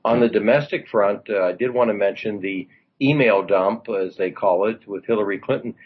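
A man's voice: lowish voice, normal speed, breathy edge, phone-quality sound. A man who served in Congress, chatting about the news on a talk radio show in a rambling way, full of fillers and asides. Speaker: former congressman, political commentator. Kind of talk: political talk radio chat.

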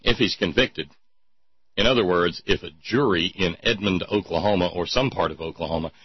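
A man hosting a talk show with a sardonic, mocking manner, reading listener notes and poking fun at what he reads. His voice is slightly husky and sits at an ordinary pitch. The mic sounds close and dry, with a slightly dull, muffled tone. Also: brisk, no echo. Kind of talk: irreverent call-in talk show.

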